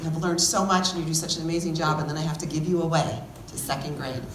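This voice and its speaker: Low-pitched woman teacher in an echoey, noisy room. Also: steady tone, fast talker. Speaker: first grade teacher hosting a class reading event. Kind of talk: addressing children and parents at a school event. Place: echoey room at a school event. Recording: roomy, noisy classroom event recording.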